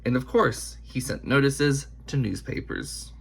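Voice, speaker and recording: A man reading a children's biography aloud in an expressive storytelling style, with measured, deliberate phrasing. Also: medium pitch, gravelly, slight background noise.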